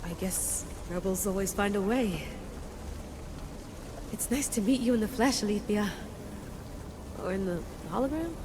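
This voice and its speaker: A woman's voice: mid-range voice, lively intonation, background noise, natural voice. A woman playing a bold, eager young rebel. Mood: determined. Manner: determined, eager, quick with a quip. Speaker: game protagonist. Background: game music.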